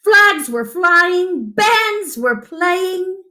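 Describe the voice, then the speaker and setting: A woman's voice: very high voice, animated, drawn-out words, pushed and bright. A woman reading a picture book aloud to children as a storyteller, with dramatic emphasis and asides to her listeners.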